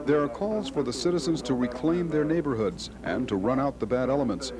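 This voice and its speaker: A man's voice: medium pitch, animated, a little fast, crowd noise. An American man narrating a scripted television news field report.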